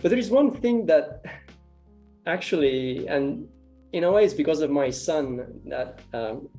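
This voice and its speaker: A man's voice: fairly high pitch, lively intonation, measured pace, mellow, faint music behind. A man from Romania, likely in his thirties, answering interview questions in a hesitant way, with many fillers and restarted phrases. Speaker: interviewee, artist and ecology researcher.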